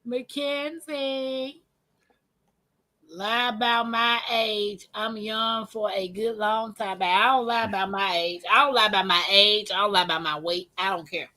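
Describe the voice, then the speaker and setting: A woman's voice: mid-range voice, steady tone, measured pace, pushed and bright. A woman, hosting a casual livestream chat and teasing her co-host with frequent laughter. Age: 40s.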